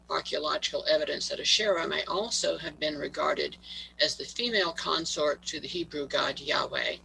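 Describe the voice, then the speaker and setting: A man giving a prepared talk over a video call, reading haltingly and stumbling on words, his voice worn from allergies. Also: a little high, creaky, sharp tone, slight background noise, low-quality recording. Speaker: guest presenter.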